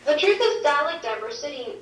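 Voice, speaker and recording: A woman whose first language is Swedish, answering interview questions on linguistics over a video call, explaining in a measured way with examples. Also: high voice, big pitch swings, fairly bright tone, slight background noise. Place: played through a wall screen in a dim room. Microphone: video call heard over speakers. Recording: roomy, distant playback from a screen.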